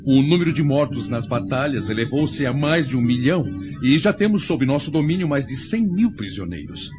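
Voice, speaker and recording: A man's voice: mid-range voice, animated, moderate pace, lightly acted. A man acting as a ruthless young Roman prince and commander, haughty and declamatory, in a radio drama. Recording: muffled recording with music behind.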